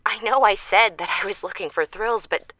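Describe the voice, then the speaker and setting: A betrayed caller speaks in a bright, forceful voice with a close, dry sound. She is halting and emotional, a fed-up woman in a radio drama. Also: medium pitch, no echo. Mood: hurt, accusing. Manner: halting, emotional, repeats words.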